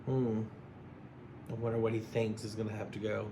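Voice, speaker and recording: A man's voice: fairly low pitch, flat delivery, normal speed, echoey room, noisy background. A man reading a rhyming picture book aloud as a teacher, rhythmically, with asides to his listeners.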